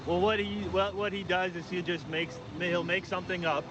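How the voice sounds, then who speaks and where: Higher-voiced man chatting amid loud street noise. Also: fairly even intonation, normal speed, lo-fi sound. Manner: agreeable, conversational. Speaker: livestreamer interviewing protesters. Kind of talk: casual street interview. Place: outdoors on a city street. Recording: very loud outdoor traffic and engine noise.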